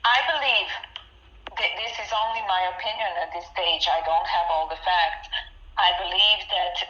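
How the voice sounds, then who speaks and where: A woman psychiatrist in a phone consultation, speaking in a hesitant, measured and non-committal way. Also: on the low side, sharp tone. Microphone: telephone. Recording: dull, echoey telephone line.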